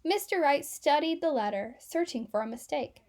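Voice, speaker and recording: A woman with a high voice reads a children's story aloud. Her narration is expressive and scripted, shaped for young listeners. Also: moderate pace.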